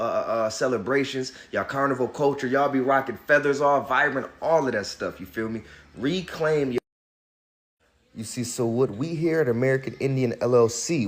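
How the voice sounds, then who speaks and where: A man talking straight to his followers in a loose, slangy way, calling them y'all and preaching at them. His voice sits in an ordinary middle register. It sounds like a casual online video. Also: mid-range voice. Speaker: online commentator promoting a service. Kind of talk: casual social media monologue.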